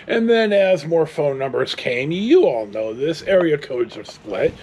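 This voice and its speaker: A man's voice: fairly high pitch, very animated, a little slow, darkish tone, husky. A middle-aged American man tells rambling casual stories in a blunt, salty way. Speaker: storyteller vlogger.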